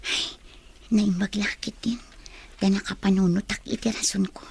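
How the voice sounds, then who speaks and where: A woman's voice: medium pitch, steady tone, normal speed, gravelly, husky. An actress playing a lovestruck, evasive young female student in Ilocano radio drama, with an Ilocano Filipino accent and a hesitant delivery. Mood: preoccupied, secretive.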